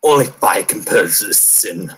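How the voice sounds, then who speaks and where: Grim fantasy purifier played by a man, slow husky delivery, solemn wrath. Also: sits low, lively intonation, a bit bright, full character voice. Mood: wrathful. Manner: ominous, solemn. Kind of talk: character voice acting audition.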